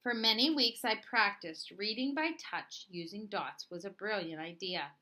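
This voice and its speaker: A woman reading a children's story aloud in an expressive narrating style. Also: medium pitch, unhurried. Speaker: storybook reader.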